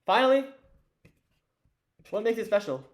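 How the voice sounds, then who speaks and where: A teenage boy with a hoarse, fading voice, teaching an investing lesson at a whiteboard in a loose, chatty way with lots of fillers and restarts. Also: sits high, very animated, measured pace. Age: teens. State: hoarse, losing his voice. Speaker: student teacher of an investing course.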